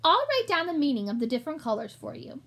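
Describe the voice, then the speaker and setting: A fussy little male rat voiced by a woman from a children's storybook, high in pitch and full of self-importance. He talks like a proud mapmaker, haughty and easily affronted. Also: big pitch swings, gravelly, exaggerated voice. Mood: businesslike. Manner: haughty, quick to take offence.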